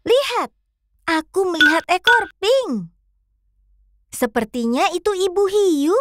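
A woman's voice: extremely high pitch, expressive, slow, music in the background, exaggerated voice. A woman voicing a cheerful cartoon fox who coaxes children to join the search in a sing-song way. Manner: sing-song, coaxing, addresses the kids. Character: bright cheerful pink fox guide.

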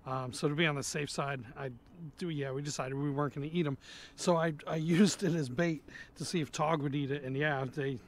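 A man's voice: on the high side, steady tone, fairly quick, slight background noise. A man vlogging while fishing on an outdoor pier, chatting casually and muttering to himself, recorded on a head-mounted action camera. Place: outdoors on a pier over an inlet.

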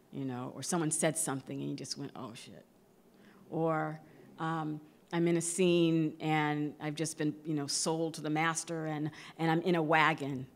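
A middle-aged woman, an actress, speaking off the cuff on a panel with expressive emphasis that moves between loud and hushed. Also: low-pitched. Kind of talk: unscripted panel discussion. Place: large theatre stage before an audience. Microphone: microphone on a stand, close.

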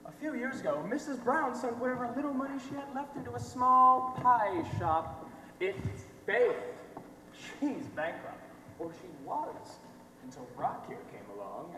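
Echoey noisy hall, young man playing brash stage reporter, theatrical, slangy, animated. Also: high voice, distant mic, full character voice. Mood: slick, dramatic. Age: young adult. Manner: theatrical, slangy, emphatic delivery. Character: brash, fast-talking male reporter exposing a scandal. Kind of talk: amateur stage play acting. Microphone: distant microphone.

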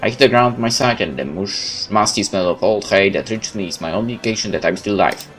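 A man's voice: on the low side, quick pace. A man reading horror game narration aloud dramatically, as a gamer playing along, now and then stumbling over words. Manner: stumbles and corrects misreadings. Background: game music.

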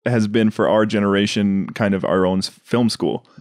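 A man's voice: lowish voice, steady tone, normal speed, a little mellow, no echo, clean studio sound. A young adult man co-hosting a podcast in casual, comedic conversation. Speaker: podcast co-host.

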